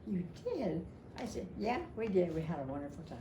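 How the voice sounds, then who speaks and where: A woman's voice: on the low side, lively intonation. Older woman storytelling, echoey noisy room. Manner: halting, repeats phrases, chatty. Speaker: storyteller at a family memorial. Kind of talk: casual personal storytelling to a gathering. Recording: echoey room, clear background noise.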